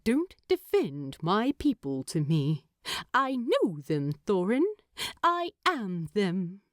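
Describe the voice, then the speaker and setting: A woman's voice: mid-range voice, sing-song, takes it slowly, dry recording, studio quality. A woman voicing a fussy, teasing, proud male hobbit homebody in an audiobook, with a wordy, exasperated manner. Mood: bitter, exasperated. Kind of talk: audiobook character dialogue.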